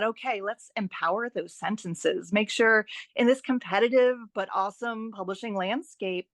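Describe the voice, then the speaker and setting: A woman's voice: medium pitch, dry recording. Enthusiastic woman podcast guest, dry close sound. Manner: enthusiastic, encouraging, emphatic repetition. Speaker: guest author and writing teacher. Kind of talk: conversational podcast interview, explanatory advice.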